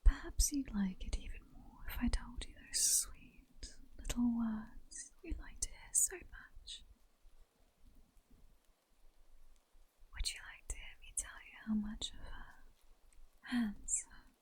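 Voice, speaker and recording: A woman with a British accent playing a seductive, mocking vampire queen in a slow, breathy, teasing whisper for an ASMR roleplay. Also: on the high side, lively intonation, darkish tone, exaggerated voice, poor audio. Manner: slow, teasing, sultry, condescending. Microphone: close-up ASMR microphone.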